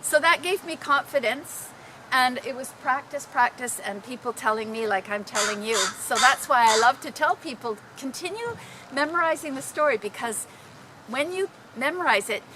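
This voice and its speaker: A woman telling personal stories informally to a group, in an animated, dramatic manner. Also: high-pitched, normal speed, background noise. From Canada. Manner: animated, dramatic, acting out exclamations. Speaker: storyteller.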